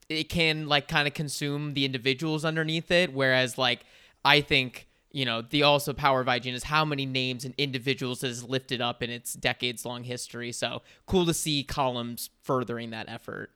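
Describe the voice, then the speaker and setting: A male podcast co-host with a bright, forceful and very smooth, crisp voice. He chats with enthusiasm and pads his sentences with fillers as he asks the guest questions. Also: mid-range voice. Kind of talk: casual podcast interview.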